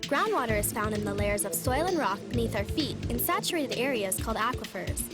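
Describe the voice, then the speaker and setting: Female announcer, higher register, scripted explainer read, loud music bed. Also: animated, a little fast. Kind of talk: scripted informational narration.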